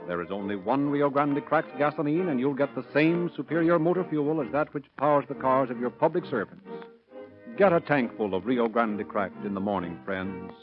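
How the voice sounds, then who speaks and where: A man's voice: medium pitch, lively intonation, moderate pace. A man announcing and narrating in formal, emphatic 1930s radio style on a muffled old recording. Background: music.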